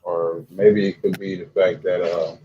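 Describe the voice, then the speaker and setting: A man in his forties in casual chat, halting with many fillers and restarts. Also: low voice, little pitch movement, dark tone. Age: 40s. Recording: dull lo-fi sound with faint noise.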